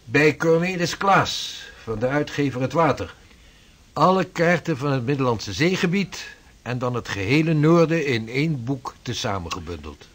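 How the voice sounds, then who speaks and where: An earnest seafaring mapmaker of the sixteenth century, in a radio play. His voice is husky and mid-pitched, and he speaks in measured, formal, old-fashioned sentences. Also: big pitch swings, fairly bright tone. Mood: determined. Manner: formal, measured, archaic phrasing. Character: earnest male 16th-century Dutch navigator and mapmaker. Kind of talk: period radio drama acting.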